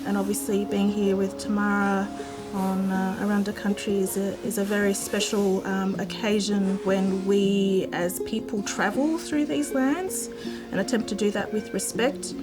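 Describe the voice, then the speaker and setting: A woman from Uruguay talks about her art in a calm, even voice with little rise and fall. She speaks in a measured, reflective way, pausing between thoughts. Plucked guitar music plays clearly beneath her. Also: mid-range voice. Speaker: textile artist. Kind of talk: reflective interview. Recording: music bed under voice.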